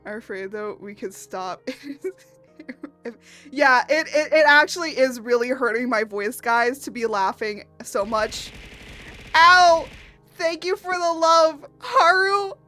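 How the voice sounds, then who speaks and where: A young woman streaming, her voice hoarse from laughing, breaking into giggles as she chats. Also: high-pitched, slow pace, sharp tone, faint music behind. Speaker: VTuber artist streamer. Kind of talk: casual live stream chat.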